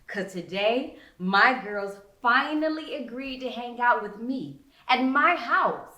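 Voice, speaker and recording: Helle, ausdrucksvolle Erzählerin, hallig. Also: female voice, fairly high pitch, animated, slow, bright, forceful tone. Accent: American. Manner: dramatic, emphatic phrasing. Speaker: author reading own novel. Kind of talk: expressive first-person audiobook narration. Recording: roomy indoor echo.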